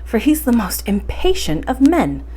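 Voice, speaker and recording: A woman's voice: on the high side, very animated, a little slow, mellow, breathy edge, exaggerated voice. A woman voicing a mild, undecided young nephew in a storybook, talking in a plain, reassuring way. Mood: mild reassurance.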